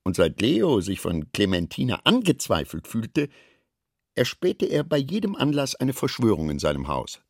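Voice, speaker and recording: Male literary narrator, gravelly, animated pitch movement, measured expressive reading, scripted radio-play storytelling. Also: no room sound, clean studio sound.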